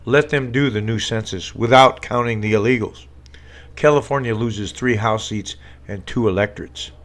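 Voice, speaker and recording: A man's voice: lowish voice, normal speed, a bit bright. A man hosting a casual live stream from home, talking politics in a sarcastic, rambling way with many fillers and restarts. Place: home room with a green screen.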